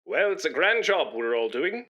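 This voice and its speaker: An actor in a comedy radio play, playing a pompous, self-righteous, boastful busybody who sounds self-important and wheedling. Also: male voice, a little high, big pitch swings, normal speed, sharp tone, exaggerated voice.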